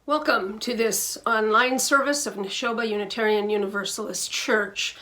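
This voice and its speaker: A woman minister giving a scripted worship welcome in a measured, deliberate way. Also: medium pitch, steady tone, pushed and bright, soft background music. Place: recorded at home.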